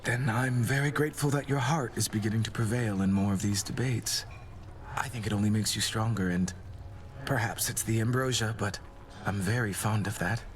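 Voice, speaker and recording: Guy playing a low voiced gloomy doom god, super polite n formal, romantic game dialogue, some background noise. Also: steady tone, natural voice. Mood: tender, earnest. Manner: formal, courteous, measured. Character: courtly, melancholy male god of doom, gently devoted.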